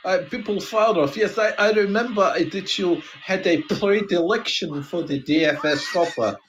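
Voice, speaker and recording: A man voicing a retired Russian KGB colonel in improvised comedy, with a mock Russian accent that sometimes slips into Scottish, halting and nostalgic in broken English. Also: sits high, fairly bright tone, a little hiss or hum. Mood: fond, nostalgic. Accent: mock Russian, sometimes slipping into Scottish. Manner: halting, rambling, broken English with dropped articles. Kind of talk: improvised comic role-play.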